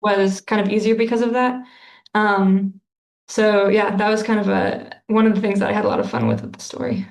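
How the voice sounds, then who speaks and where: A woman's voice: mid-range voice, normal speed, gravelly, a little mellow, reverberant. A woman author answering interview questions in a casual, thoughtful way, with lots of fillers and restarts.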